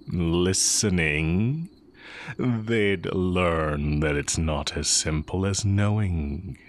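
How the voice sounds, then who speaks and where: A man playing a sly, heretical magic teacher with secret longing, speaking in a measured, teasing way in a romantic audio roleplay. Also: a bass voice, a bit bright, exaggerated voice. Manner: measured, deliberate, teasing delivery with drawn-out pauses.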